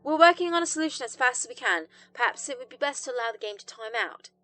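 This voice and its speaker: A frantic female doctor, voiced by a woman narrator in a horror audio story. She speaks in halting, formal phrases as her composure cracks, over an intercom with faint music underneath. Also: a little high, animated, bright, forceful tone, voice pushed up. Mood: nervous, evasive. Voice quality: cracked, breathy.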